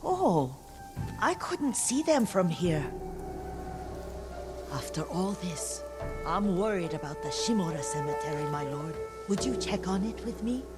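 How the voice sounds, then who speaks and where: Old lady caretaker in a game, real slow and breathy, gentle and wistful, music playing under her. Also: lowish voice, big pitch swings. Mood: gentle, weary. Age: older. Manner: slow, tender, reflective. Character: elderly, gentle, wistful woman, devoted caretaker to a lord. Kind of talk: dramatic video game acting.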